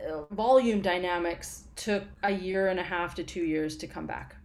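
A woman's voice: fairly low pitch, a little mellow. A woman speaking as a podcast guest in a loose, conversational way. She trails off and restarts her sentences, filling gaps with little fillers as she goes. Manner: halting, many fillers and restarts. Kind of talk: casual interview chat.

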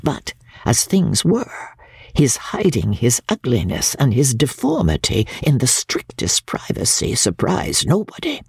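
A cold, shrewd older Victorian woman, acted out by a female reader in a classic audiobook. Her low voice is heavily husky and gravelly. She tells secrets deliberately, with very dramatic swoops in pitch and hushed drops. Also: huge pitch swings, no room sound, clean studio sound, exaggerated voice. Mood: coolly confiding. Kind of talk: dramatic reading of classic literature.

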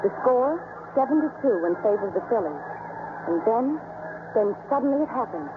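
A man's voice: extremely high pitch, lively intonation, moderate pace, noisy background. An actor narrating a 1950s radio drama as a man telling a story. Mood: narrating, dramatic. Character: storytelling man recounting a baseball tale. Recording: narrow-band old radio broadcast.

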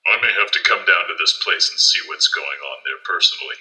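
Man acting as blustering old-school boss, audio drama, very low, hard forceful edge, pompous, gruff. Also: fairly quick, rough recording. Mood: gruff, indignant. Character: blustering, crotchety conservative male magazine boss. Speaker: actor in an audio play.

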